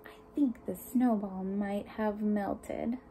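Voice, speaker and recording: A woman's voice: mid-range voice, steady tone, slow pace, warm tone, a little hiss or hum, poor audio. A woman reading a picture book aloud to young children, speaking expressively and putting questions and asides to the listeners. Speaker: storybook reader for young children.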